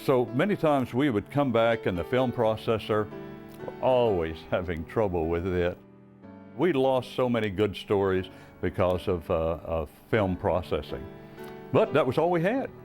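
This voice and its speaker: A man's voice: lowish voice, lively intonation, a little slow, darkish tone, soft background music. Older American man, veteran broadcaster, reminiscing. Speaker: veteran former broadcaster, guest. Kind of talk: reminiscing, unscripted talk.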